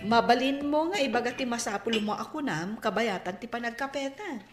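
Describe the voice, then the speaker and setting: Actress as well-off careful friend, Ilocano accent, high voice, echoing room, radio comedy-drama. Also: female voice, a little fast, soft background music, natural voice. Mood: welcoming. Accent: Filipino, Ilocano. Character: well-off woman friend, hospitable but careful with her things.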